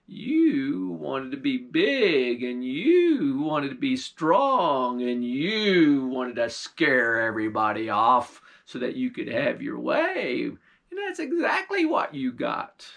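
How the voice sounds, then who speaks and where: A male storyteller voicing a sly, whiny old witch woman who wails in a high, drawn-out voice. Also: big pitch swings, gravelly, a bit bright, heavily acted. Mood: defensive.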